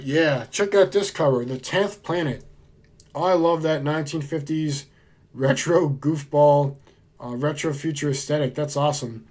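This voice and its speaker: A man with a somewhat high speaking voice, a little hoarse from a cold. He talks in a relaxed, chatty way and gets plainly excited about what he is showing. The tone is casual and friendly. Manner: enthusiastic, chatty, laid-back. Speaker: book vlogger. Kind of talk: casual book haul chat.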